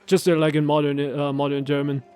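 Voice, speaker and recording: A man's voice: fairly high pitch, monotone, fairly quick, a little mellow, clean tone. A man chatting casually as a co-commentator, explaining video game voice lines. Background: video game music and voice clips.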